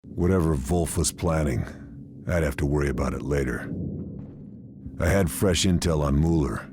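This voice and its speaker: Casting: hardened American OSS sniper narrator, war game. Extremely low voice, laconic and world-weary, over noisy ambience. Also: male voice, normal speed. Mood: terse, resolute. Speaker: narrator and protagonist.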